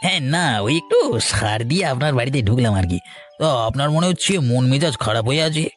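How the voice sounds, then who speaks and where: A man's voice: mid-range voice, animated, fast, soft background music, lightly acted. A man voicing a chatty, teasing villager in a cartoon story, wheedling and eager to please. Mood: deferential. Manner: wheedling, talkative, placating. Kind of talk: dramatized cartoon story dialogue.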